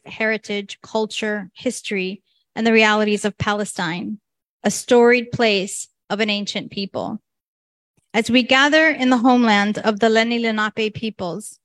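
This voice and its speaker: A woman hosting a festival, giving a deliberate, declarative opening address. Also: fairly high pitch, fairly bright tone, no echo. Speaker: festival organizer and host.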